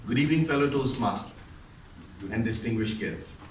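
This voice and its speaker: A man's voice: mid-range voice, moderate pace. Man giving a speech in an echoey room. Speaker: contest speaker. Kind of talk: storytelling speech to an audience. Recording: roomy echo, distant mic, faint noise.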